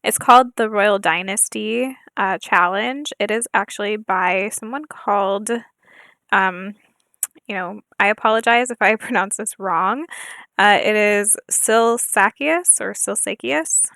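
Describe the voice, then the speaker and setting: Soft-spoken hesitant young woman. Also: mid-range voice, measured pace, creaky, dry recording. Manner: hesitant, soft-spoken, many fillers and apologies. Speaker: gaming channel host. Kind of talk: casual gameplay chat with read-aloud challenge text.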